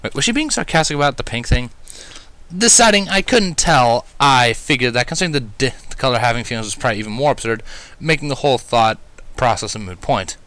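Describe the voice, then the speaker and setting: A man reads fiction aloud in a bright, lively voice. His narration is wry and expressive, with a widely moving pitch and the odd stumble. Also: fast. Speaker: fan fiction reader. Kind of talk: expressive first-person story narration.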